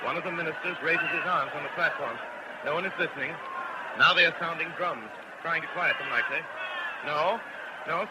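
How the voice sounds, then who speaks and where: An actor playing a grave radio announcer, giving solemn, poetic live commentary as witness to a crowd. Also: male voice, a little high, natural voice. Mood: urgent, watchful. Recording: dull, noisy old radio recording.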